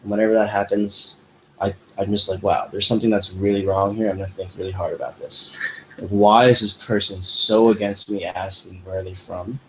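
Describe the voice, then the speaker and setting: Animated young man with low voice. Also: fairly quick, a little hiss or hum, low-quality recording. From United States. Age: young adult. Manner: animated, rambling, many fillers. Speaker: podcast host. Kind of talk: casual chat.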